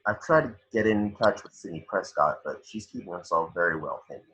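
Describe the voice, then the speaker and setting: A man acts out a grave detective in a horror film script read at home. The voice sits very deep yet has a clear, forceful brightness. The delivery is formal and official. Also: a little fast, lo-fi sound. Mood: grave, insistent. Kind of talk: amateur table reading of a film script. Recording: home recording.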